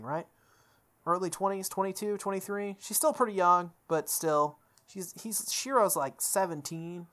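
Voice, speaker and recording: A young man reading a visual novel aloud as a let's play host, breaking into casual animated commentary. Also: on the high side.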